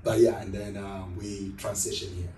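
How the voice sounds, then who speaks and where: A man's voice: low voice, flat delivery, normal speed, dark tone, slight background noise. A middle-aged man with a Ghanaian accent, talking conversationally as a pastor being interviewed. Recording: roomy echo, distant microphone.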